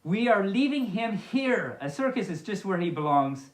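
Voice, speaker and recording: A woman's voice: medium pitch, big pitch swings, moderate pace, close to an everyday voice. Woman reading as a stern, exasperated storybook father, echoey. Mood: angry, fed up. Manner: scolding, emphatic. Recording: echoey, reverberant room sound.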